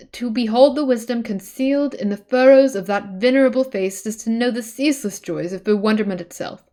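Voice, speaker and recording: A woman's voice: on the high side, a little mellow. Woman casually reading a story aloud. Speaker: streamer reading a webcomic aloud. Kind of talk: casual read-aloud narration with chatty asides.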